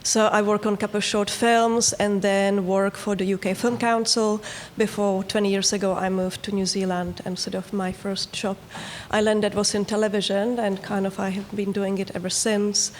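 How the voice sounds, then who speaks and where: Flat-voiced woman with a Czech accent. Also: mid-range voice, normal speed, a little hiss or hum. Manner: matter-of-fact, slightly non-native grammar. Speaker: factual TV producer.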